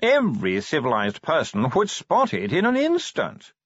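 A man's voice: on the high side, huge pitch swings, fairly bright tone, dry recording, pitched above the performer's own voice, heavily acted. A man voicing a posh, fussy male art curator who drawls as if yawning. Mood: plaintive. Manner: slow and plaintive. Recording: dull lo-fi recording.

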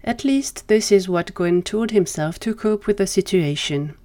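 A woman's voice: medium pitch, unhurried, dark tone. A woman reading scripted fiction as a storytelling narrator. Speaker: narrator of fan fiction audio drama.

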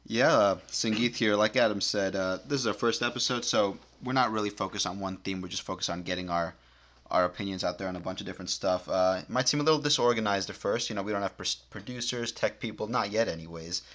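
A man's voice: on the low side, quick pace, a bit bright. A young man in his twenties co-hosting a casual podcast, speaking in an easygoing way with frequent "um" and "uh". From United States. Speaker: podcast co-host.

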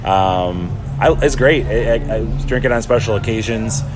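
A man's voice: on the low side, moderate pace, noisy background. A young adult man from Chicago co-hosting a podcast, chatting casually and telling stories with lots of fillers. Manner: rambling, storytelling with fillers. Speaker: podcast co-host. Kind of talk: casual chat.

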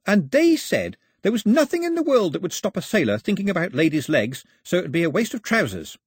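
Man voicing an eager, high-pitched young islander chatterbox. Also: very animated, fairly quick, slightly rough, no echo, studio quality, full character voice. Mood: enthusiastic. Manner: eager, emphatic, explanatory. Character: chatty, cheerful young islander man explaining foreign customs. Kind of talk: storybook character dialogue.

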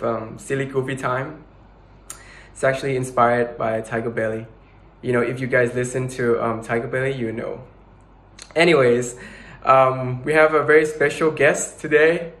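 A man's voice: medium pitch, a bit bright. Giggly young man in an echoey room. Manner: giggly, rambling, lots of fillers. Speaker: podcast host. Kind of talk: casual chat.

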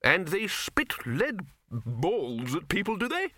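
A man's voice: high-pitched, wildly swooping pitch, takes it slowly, sharp tone, dry recording, clean studio sound, pitched above the performer's own voice, full character voice. A man voicing a blustery, commanding older male head wizard, hearty and emphatic, in a comic fantasy audiobook. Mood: puzzled.

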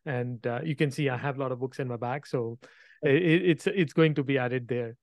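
A man hosting a podcast interview, friendly and a bit halting as he asks questions. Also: mid-range voice, a little mellow, dry recording, studio quality. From India. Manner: friendly, halting phrasing with restarts. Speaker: podcast host.

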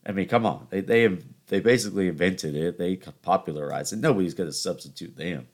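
Southern-raised twenties male host, New York-tinged accent, low pitch, loose self-mocking storyteller. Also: a little fast. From Charleston, South Carolina. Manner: rambling, joking, self-mocking. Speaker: podcast host. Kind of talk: casual solo podcast storytelling.

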